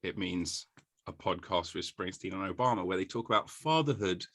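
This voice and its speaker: Male podcast host, casual and jokey, low pitch, bright edge, call-quality mic. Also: expressive, normal speed. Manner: joking, laughs often. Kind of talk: casual chat.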